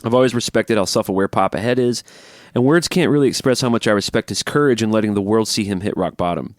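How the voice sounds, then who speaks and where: A man's voice: on the low side, no room sound, clean studio sound. A man co-hosting a podcast, reading listener emails aloud and chatting eagerly, often cutting in over his co-host. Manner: quick, chatty, jumps in over the other host.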